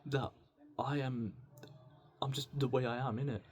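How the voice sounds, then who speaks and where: A British man talking in a casual, unscripted video diary, rambling and halting, with a self-deprecating manner. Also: mid-range voice, steady tone, a little mellow, a little hiss or hum. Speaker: video diarist. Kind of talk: casual unscripted confessional monologue.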